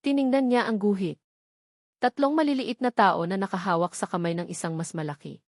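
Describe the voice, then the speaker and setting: A woman's voice: medium pitch, brisk, no room sound, clean studio sound. A woman narrating a scripted dramatic love story.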